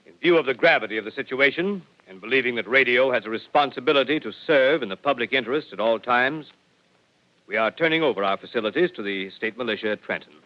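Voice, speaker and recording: An actor playing a broadcasting executive making an official statement in a 1930s radio drama, stiff and formal. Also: male voice, medium pitch, animated, quick pace, natural voice. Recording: dull lo-fi old radio recording.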